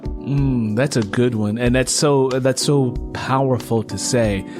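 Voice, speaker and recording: A man's voice: mid-range voice, a little slow, over music. A man hosting a casual interview, affirming his guest and repeating points for emphasis. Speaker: interview host.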